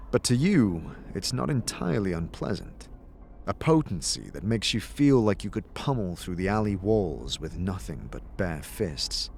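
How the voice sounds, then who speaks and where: Man narrating dark fantasy with expressive range. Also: fairly low pitch, creaky, a little hiss or hum. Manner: theatrical, vividly descriptive. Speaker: game master and narrator. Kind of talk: dramatic scripted second-person fantasy narration.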